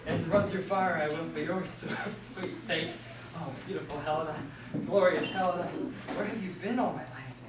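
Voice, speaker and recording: A man's voice: on the high side, moderate pace, natural voice. A man playing an impulsive, lovestruck young lover in a stage play, earnest and melodramatic, recorded from far off in an echoey hall. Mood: infatuated. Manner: earnest, playful, swinging to melodrama. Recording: echoey hall, distant mic, noisy.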